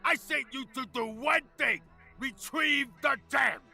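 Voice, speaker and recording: A man voicing a bombastic, ill-tempered cartoon villain boss, shouting pompously. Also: very high voice, unhurried, creaky, faint music behind, exaggerated voice. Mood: furious. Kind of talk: animated cartoon villain acting.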